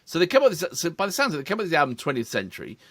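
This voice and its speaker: A man's voice: a little high, huge pitch swings, racing, a bit bright, dry recording. A middle-aged man, co-hosting a reaction video in casual chat, reading out notes with asides. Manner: chatty, reads background notes with asides.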